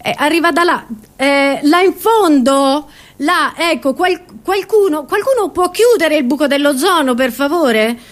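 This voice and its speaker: A woman's voice: high-pitched, a little slow. A woman performing a theatrical comic monologue to an audience, scatterbrained and exclamatory, often breaking off and repeating her words.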